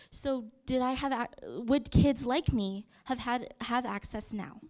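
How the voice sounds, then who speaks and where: A woman in her thirties gives a conference presentation, pitched a bit higher than most women. She talks conversationally, often pausing with fillers and correcting herself mid-sentence. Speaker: youth program presenter. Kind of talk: conference presentation walking through slides.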